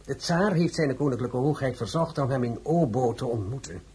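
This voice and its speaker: Husky, courteous political schemer. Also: male voice, mid-range voice, moderate pace, dark tone. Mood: suave, knowing. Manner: formal, smoothly deferential. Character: courteous, insinuating gentleman of political intrigue. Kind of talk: period radio drama acting.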